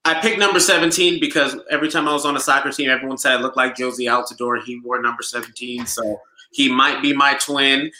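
Male regular, casual and upbeat, bright forceful tone, echoey room. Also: medium pitch, steady tone, fast. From United States, Nigerian heritage. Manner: laid-back, filler-heavy, enthusiastic. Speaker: supporter group DJ and show regular. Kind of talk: casual podcast chat.

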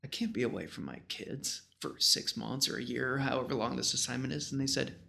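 A man in his thirties with an American accent talks in a relaxed, rambling storyteller's style. His mid-pitched voice is full of vocal fry. The phrasing is informal and slangy, like a guest swapping memories. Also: fairly quick. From small-town western New York, United States. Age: 30s. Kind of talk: casual conversational storytelling.

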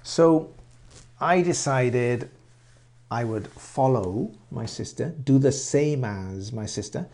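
Slow gravelly man, English-Welsh accent. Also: mid-range voice, lively intonation, darkish tone. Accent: mix of English and Welsh. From England. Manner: repeats words and asks the listener questions. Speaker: English teacher. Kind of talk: slow, simple English for learners.

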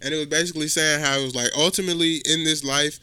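Bright-voiced young man. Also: a little high, fairly even intonation, fast talker, smooth. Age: twenty. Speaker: podcast guest, musician. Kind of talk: casual chat.

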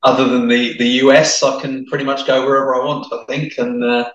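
Chatty middle-aged man with a hard-to-place mixed accent, Italian first language, steady middle pitch; roomy sound, set back from the mic. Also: brisk, distant mic, highs cut off. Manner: chatty, many restarts and repeated words. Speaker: guest. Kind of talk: casual interview chat. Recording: echoey, from off the mic.

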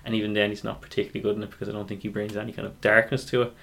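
Fast-talking low, creaky-voiced man. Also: little pitch movement, fairly bright tone. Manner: opinionated, rambling, thinking aloud. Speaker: podcast co-host. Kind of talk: casual film review chat.

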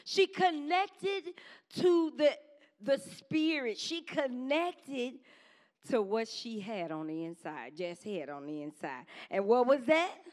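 A woman preaching a sermon to her congregation in a conversational style, with quick call-and-response asides to the church. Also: on the high side, expressive, a little mellow. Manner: says she has a strong voice.

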